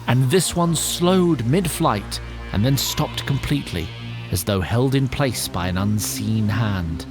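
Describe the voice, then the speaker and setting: A man narrating a fantasy audio drama as a measured, wry storyteller who addresses the listener directly. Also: mid-range voice. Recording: music bed under narration.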